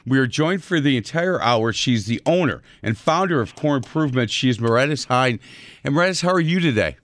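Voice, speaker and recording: A man's voice: medium pitch, lively intonation, fairly quick, fairly bright tone. A man hosting a home improvement radio show, chatting upbeat with guests and working in filler phrases. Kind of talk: casual radio talk. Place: radio studio.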